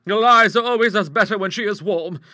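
A man voicing a brisk, outspoken middle-aged English aunt in a storybook, hearty, emphatic and used to commanding. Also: high voice, bright, forceful tone, no room sound, clean studio sound, voice pushed up. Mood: reassuring. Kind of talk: storybook character voice in an audiobook.

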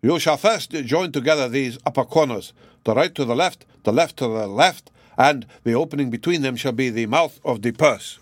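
An old German gentleman played by a male reader from a storybook, with a German accent. He is courteous, musing and exacting as he instructs, in a dry, close recording. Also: mid-range voice, normal speed, no room sound, natural voice. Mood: instructive, insistent. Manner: courteous, particular, exclamatory.

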